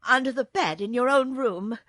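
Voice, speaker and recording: A woman's voice: moderate pace, a bit bright, no room sound, studio quality. A woman voicing a troubled, deferential young palace maid in a storybook, reporting earnestly. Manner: earnest, high-pitched reporting. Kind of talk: storybook character voice.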